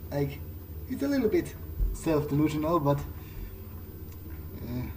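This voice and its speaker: A man speaking in character through a game playthrough, halting and often repeating himself. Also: on the high side, sing-song, a little hiss or hum, poor audio. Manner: hesitant, halting, repeats words. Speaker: role-playing gamer. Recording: loud music behind.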